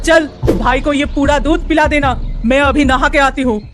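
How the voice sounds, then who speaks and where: A woman voicing a harsh, bossy aunt, shrill and commanding, in a children's story. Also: fairly even intonation, moderate pace, over music, lightly acted. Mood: scolding. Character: harsh scolding aunt, bossy and quick to anger.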